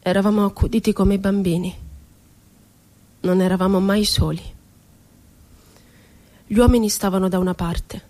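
A woman's voice: mid-range voice, darkish tone, natural voice. An actress playing an ironic, wounded woman poet confined in an asylum, telling her story expressively in a radio theatre monologue.

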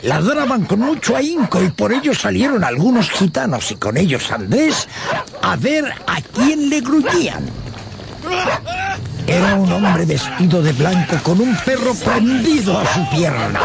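An actor playing a dramatic radio narrator of an old tale, given to confiding asides to the listener. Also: male voice, high-pitched, very animated, a little slow, creaky, noisy background, full character voice. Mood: excited. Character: animated male storyteller of an old tale. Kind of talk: theatrical radio storytelling narration. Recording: music behind the voice. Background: music.

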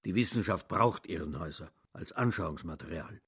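A man's voice: low-pitched, lively intonation, moderate pace, fairly bright tone, breathy edge, dry recording, studio quality, full character voice. A man narrating a literary radio drama in a sober, scripted style.

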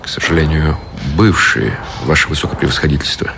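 Formal obedient adjutant, deep voice, radio drama with clear noise. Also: male voice, fairly quick. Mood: respectful. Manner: formal, respectful. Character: dutiful, deferential military aide to an admiral. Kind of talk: Russian radio drama acting.

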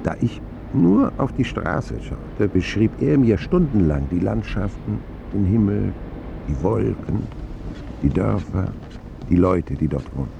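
A man's voice: on the low side, sing-song, measured pace, vocal fry, dull audio, noisy background, heavily acted. An actor playing a grown man who reflectively narrates his boyhood journey in a radio drama. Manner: reflective, storytelling. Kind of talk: scripted first-person radio drama narration.

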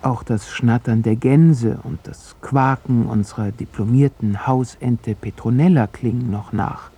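A man from Poland recites his childhood memories like a written poem, solemn and wistful. His voice sits at an ordinary middle pitch with a dark, mellow tone. A faint hiss lies under the recording. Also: a little slow. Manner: solemn, wistful, poetic delivery. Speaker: narrator recalling childhood.

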